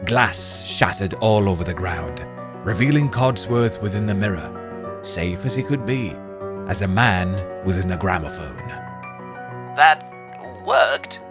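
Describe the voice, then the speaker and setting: A man narrating a spooky fantasy story in a dramatic, expressive scripted reading. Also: deep voice, measured pace. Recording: music playing under voice.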